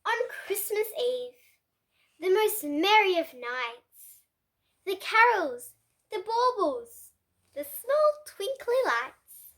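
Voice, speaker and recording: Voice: very high voice, very animated, slow, pauses often, fairly bright tone. A child hosting a read-aloud, reading picture books expressively with playful, dramatic asides and questions to young listeners. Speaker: host of a children's read-aloud book channel.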